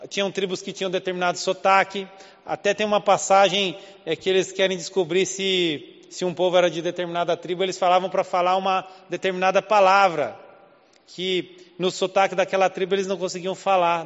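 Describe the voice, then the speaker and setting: A man's voice: sits high, big-room sound, muffled recording. A man from Southeast Brazil with a Southeast Brazilian accent, preaching a conversational sermon full of rhetorical questions. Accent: Southeast Brazilian Portuguese. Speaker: pastor. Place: church hall.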